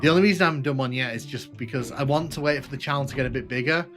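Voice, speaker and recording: A man with a northern English accent and a slight Liverpool twang, chatting casually and a little haltingly to viewers as he hosts a gaming livestream. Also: medium pitch, fairly bright tone. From north of England, UK. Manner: chatty, informal, halting with repeated phrases. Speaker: livestream host, gaming streamer. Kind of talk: casual live stream chat with viewers over gameplay.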